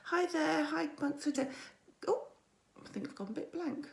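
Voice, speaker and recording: A woman mindset coach with a croaky voice, hosting a chatty weekly livestream and greeting viewers by name. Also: high-pitched, lively intonation, measured pace. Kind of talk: weekly social media livestream.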